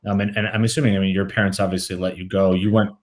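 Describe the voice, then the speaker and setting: A man hosts a podcast interview over a video call, with a somewhat low voice. He asks curious, halting questions, often restarting and repeating words before he lands on the point. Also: flat delivery, over a noisy crowd. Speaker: podcast host. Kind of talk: casual interview.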